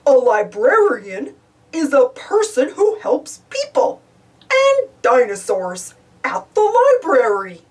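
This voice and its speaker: A woman voicing a friendly big male dinosaur in a storybook, gently explaining. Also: sits high, huge pitch swings, slow, recorded from a distance, heavily acted. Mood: gentle.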